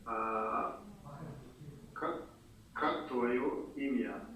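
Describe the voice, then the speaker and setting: A Kazakh-speaking man from Kazakhstan learning Russian, answering in hesitant, halting repetitions. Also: medium pitch, fairly bright tone. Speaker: language student. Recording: echoey room, distant mic, faint noise.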